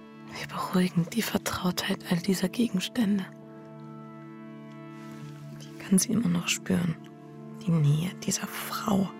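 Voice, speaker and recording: An actress in a German radio drama playing an anxious, guilt-ridden wife in breathy, introspective inner monologue. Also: female voice, medium pitch, darkish tone. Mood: haunted, hushed. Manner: introspective, breathy, often near whisper. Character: anxious, guilt-ridden married woman having an affair.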